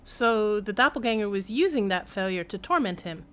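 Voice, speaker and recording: A woman's voice: mid-range voice, expressive, moderate pace. A woman streaming a video game with casual, playful live commentary, reacting to what happens as she plays.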